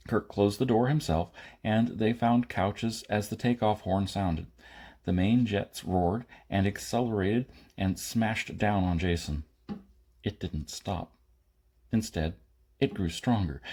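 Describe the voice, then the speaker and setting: A man's voice: on the low side, warm tone. A man reading a science fiction story aloud as scripted audiobook narration. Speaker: audiobook reader.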